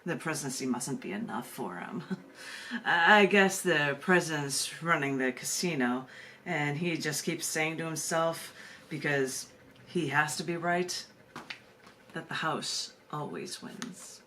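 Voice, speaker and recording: An American woman with a slightly low voice speaks like a poet hosting an open mic. Her delivery is emphatic yet conversational, with halting repetitions as she goes. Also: fairly bright tone, slight background noise. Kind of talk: spoken-word poetry reading and open mic hosting.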